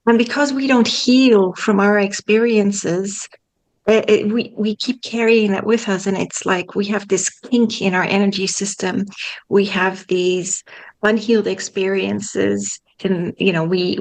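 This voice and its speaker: A woman's voice: mid-range voice, rough recording. A woman in her forties from the Netherlands, a spiritual coach chatting openly in an interview, joking and using many fillers. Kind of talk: casual interview chat.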